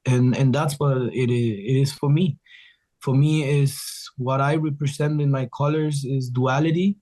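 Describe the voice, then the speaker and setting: Mellow-voiced man. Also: mid-range voice, fairly even intonation, moderate pace. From Ecuador. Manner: earnest, rambling, with frequent restarts. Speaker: guest musician. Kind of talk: casual interview storytelling.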